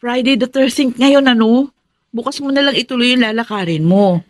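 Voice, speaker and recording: A woman's voice: on the high side, moderate pace, a little hiss or hum, natural voice. A Filipino woman acting a stubborn, superstitious and self-satisfied elder sister in a radio drama, insistent and lecturing. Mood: insistent, superior.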